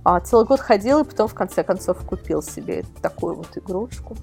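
A woman's voice: mid-range voice, lively intonation, a little fast, gravelly, darkish tone, faint music behind. A woman speaking as an expert guest on a casual podcast, explaining things in a chatty way. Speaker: guest, magazine-style portal editor. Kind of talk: casual podcast interview answers.

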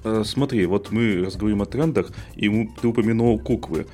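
A man's voice: fairly low pitch, a little fast, warm tone, faint music behind. A man co-hosting a casual talk show, speaking conversationally as he puts ideas and questions to others. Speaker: podcast co-host.

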